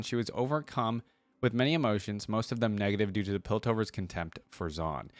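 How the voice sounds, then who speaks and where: Low-voiced man, casual and close-sounding. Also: no echo. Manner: conversational, thinks aloud with check-in questions. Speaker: reaction video host. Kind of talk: casual reading aloud with off-the-cuff commentary.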